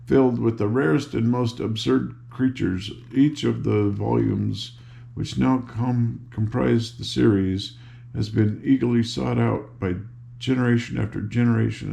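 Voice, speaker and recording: Older man, low mellow voice, creaky, grandfatherly storybook reader, informal. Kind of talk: reading a children's book aloud with casual asides.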